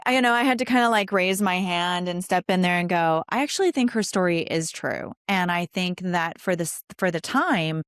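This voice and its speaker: Low-pitched woman hosting an interview. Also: no room sound, studio quality. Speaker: podcast host. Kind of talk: conversational interview.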